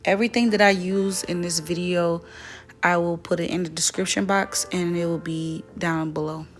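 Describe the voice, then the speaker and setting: Slightly raspy young woman, low flat voice. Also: normal speed, soft background music. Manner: relaxed, chatty, self-correcting. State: voice dry from weather. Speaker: beauty vlogger. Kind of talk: casual makeup tutorial chat.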